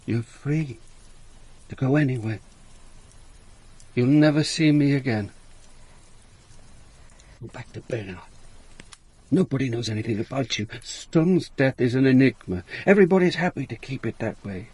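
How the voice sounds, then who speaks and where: Husky, world-weary police inspector. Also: male voice, mid-range voice, lively intonation, moderate pace, long pauses, a little mellow, no echo. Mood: stern, urgent. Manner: terse, commanding, hard-boiled. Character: world-weary, blunt male Dutch police inspector narrating his case. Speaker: actor playing a police inspector. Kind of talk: radio drama acting and first-person narration.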